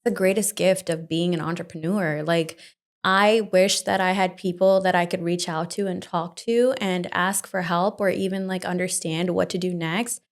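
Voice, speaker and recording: A woman's voice: lowish voice, steady tone, moderate pace. A young woman born in India and raised in New Jersey, a guest speaking hesitantly in casual interview chat. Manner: hesitant, many fillers. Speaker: entrepreneur guest.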